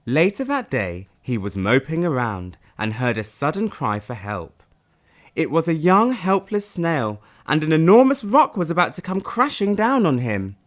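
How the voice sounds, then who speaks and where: A man reading a children's audiobook as narrator, with dramatic, expressive delivery. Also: a little high, normal speed. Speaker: audiobook reader.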